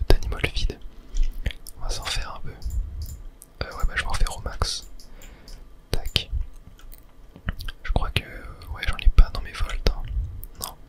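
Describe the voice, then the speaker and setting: A person whispering ASMR game commentary, thinking aloud to viewers with hesitant pauses. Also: extremely high pitch. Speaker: gaming video host.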